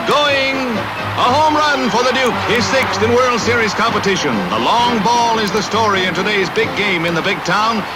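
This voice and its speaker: A man's voice: high-pitched, low-quality recording. An American man narrating a 1950s sports newsreel from a script, in a punchy, dramatic announcer style. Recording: vintage 1950s newsreel soundtrack with loud music. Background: loud music under the narration.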